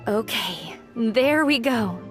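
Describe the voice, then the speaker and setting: A gentle young lantern maker, speaking in a slightly high voice. She is sincere and sentimental, with soft game music under her. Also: animated, slow pace. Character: young woman, gentle lantern-making artisan. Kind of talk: video game voice acting.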